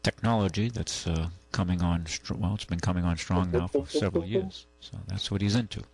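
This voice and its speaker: A man's voice: sits low, lively intonation, brisk, a little mellow, slightly rough. An older man hosting a call-in radio talk show, rambling and folksy with many restarts. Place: radio studio.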